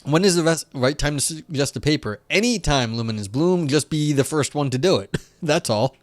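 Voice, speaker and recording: A man's voice: a little high, animated, moderate pace, no room sound. A man reading philosophy papers aloud on a live stream and chatting with viewers in a rambling, filler-heavy way, his voice wearing thin. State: losing his voice.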